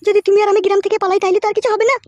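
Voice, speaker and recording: A cartoon village wife with an extremely high, shrill voice. She talks very fast, nagging and insisting with a sharp, foul-mouthed tongue. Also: level tone, dry recording, muffled recording. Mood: urgent. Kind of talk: comic cartoon dialogue.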